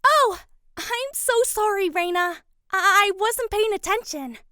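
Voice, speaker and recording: Super high squeaky young girl, bubbly and excitable, really animated, audio drama. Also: a little slow, no echo, studio quality, exaggerated voice. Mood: apologetic, friendly. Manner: gushing, excitable, exclamatory. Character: bubbly, sweet, energetic young girl who loves colors. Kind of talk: fan audio drama acting.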